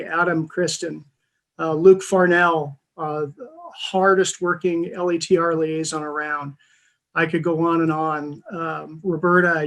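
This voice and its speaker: A man with a fairly high voice gives an acceptance speech on a video call. He speaks earnestly and haltingly, with frequent pauses. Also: a bit bright, low-quality recording. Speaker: award recipient. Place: video call from an indoor room. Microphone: video call microphone.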